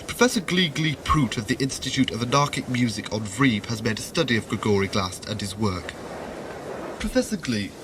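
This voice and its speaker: A man's voice: mid-range voice, animated, moderate pace, natural voice. A man playing a formal, ceremonious announcer covering a gala concert live in a science fiction audio drama. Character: formal broadcast announcer of a far-future concert. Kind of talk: formal live-broadcast commentary. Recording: noisy amateur audio drama recording.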